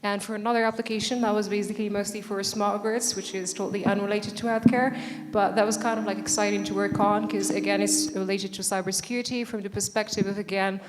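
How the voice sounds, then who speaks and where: A woman's voice: mid-range voice, flat delivery, quick pace, long reverb tail, slight background noise. A woman researcher speaking on a conference panel in a casual way, with many fillers. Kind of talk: panel discussion.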